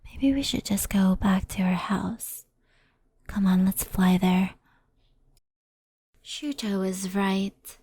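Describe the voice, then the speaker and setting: Gentle kitsune woman played by a female performer for ASMR roleplay: devoted, anxious, mellow, unhurried and whispery. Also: mid-range voice, steady tone, husky, no echo, studio quality. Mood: calm, reflective. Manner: soft, slow, soothing, often whispery.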